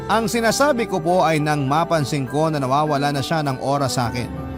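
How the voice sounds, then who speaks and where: A man's voice: on the high side, lightly acted. A man acting as a devoted young letter sender, sincerely and respectfully narrating his love story in a radio drama with a Filipino accent. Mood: wistful.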